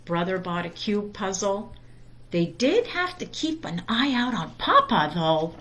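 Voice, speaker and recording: A woman reads a children's storybook aloud at a slow, deliberate pace. Her voice is medium in pitch, with expressive storytime phrasing. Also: a little hiss or hum. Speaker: storytime reader.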